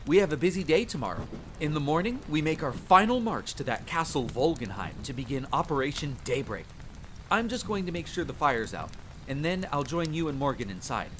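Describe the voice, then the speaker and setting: Guy doing an eager puppyish hunter dude, highish bouncy voice, fantasy asmr roleplay, bit of rain. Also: a little fast, slight background noise. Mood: friendly, winding down. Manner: chatty, excitable, easily flustered. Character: eager, boyish, friendly male vampire hunter comrade. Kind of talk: dramatic ASMR roleplay audio drama. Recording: faint rain ambience behind. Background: rain ambience.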